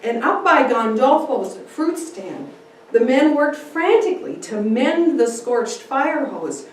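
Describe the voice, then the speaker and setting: Woman narrator, dramatic reading, slow deliberate pacing, lively pitch swings, echoey room, distant microphone. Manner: theatrical, deliberate. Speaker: speaker giving a dramatic reading. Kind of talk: dramatic first-person story narration. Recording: echoey room, distant mic, faint noise.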